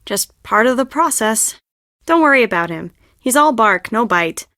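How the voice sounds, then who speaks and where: A young woman who directs plays, stressed and theatrical, in adventure game dialogue. Her voice sits fairly high and is recorded very dry. She speaks expressively, as if confiding in the listener. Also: lively intonation, normal speed, bright, forceful tone, dry recording. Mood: brisk, friendly. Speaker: video game voice actor.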